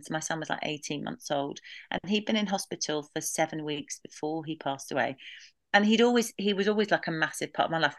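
A woman in her thirties recalling family loss in an interview, halting with many restarts, now and then laughing through it. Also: low voice, a bit bright, no room sound. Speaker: podcast guest. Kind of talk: candid emotional interview.

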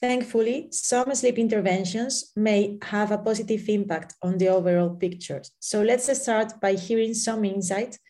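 Female co-host, mellow tone, formal scripted read. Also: mid-range voice, moderate pace, hardly any pauses. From Spain. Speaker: neurologist, co-host. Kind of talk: scripted podcast narration.

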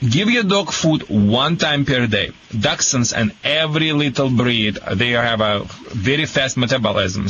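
Male radio advisor, higher-pitched and forceful, blunt and repetitive. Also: a little hiss or hum. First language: not English. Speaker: radio show dog trainer giving advice. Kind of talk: call-in radio advice. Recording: slightly dull radio sound with music.

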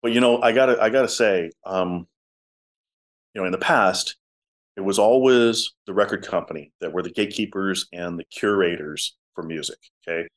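A man with a low voice, a musician telling stories in a casual interview. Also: normal speed. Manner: talkative storyteller, drops to soft tones. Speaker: musician guest.

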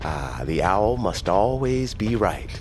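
A man's voice: lowish voice, big pitch swings, slow, slight background noise. A man playing a wary tradesman turned conspirator in a fantasy audio drama, speaking in dry, courtly, archaic phrases. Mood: dryly challenging.

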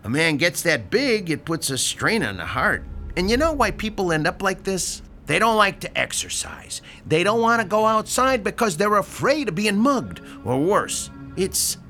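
A grouchy sarcastic landlord. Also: male voice, high-pitched, very animated, normal speed, hardly any pauses, bright, forceful tone, faint music behind, heavily acted. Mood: musing. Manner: sarcastic, grumbling, blunt. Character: grouchy sardonic male building landlord. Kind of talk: audio drama acting.

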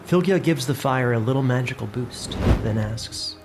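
A man's voice: medium pitch, normal speed, over music. A man giving scripted narration for a fantasy story.

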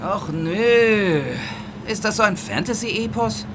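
An actor playing a bored, picky train conductor in a children's audio drama, grumbling and dismissive. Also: male voice, high-pitched, slow, noisy background.

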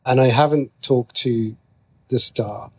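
A man's voice: medium pitch, steady tone, takes it slowly, darkish tone, slightly rough. A man chatting as an interview guest, thinking aloud and often rephrasing as he goes. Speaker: interview guest, TV and radio writer. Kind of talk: casual interview conversation.

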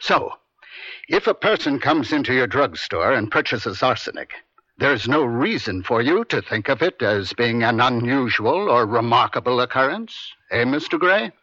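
A man acting a stern, pressing defense attorney in a radio courtroom drama, deliberate, pointed and domineering. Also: mid-range voice, big pitch swings, sharp tone, no echo, full character voice. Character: stern, pressing male defense attorney cross-examining a witness. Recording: dry, dull vintage radio broadcast.

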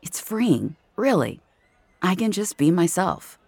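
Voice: mid-range voice, very animated, takes it slowly, gravelly, darkish tone, dry recording, full character voice. An actor playing an introspective young regular of a virtual-reality world, narrating an audio drama in an intimate, confiding way. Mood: reflective. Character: introspective young VR social-space regular named Jamie. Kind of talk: first-person scripted audio drama narration.

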